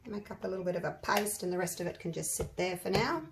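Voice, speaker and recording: An Australian woman with a croaky, husky voice, chatting casually as she walks viewers through a resin craft step by step. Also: on the low side, quick pace, slight background noise. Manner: chatty, rambling, talks through each step. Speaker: resin craft channel host. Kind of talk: casual craft tutorial talk.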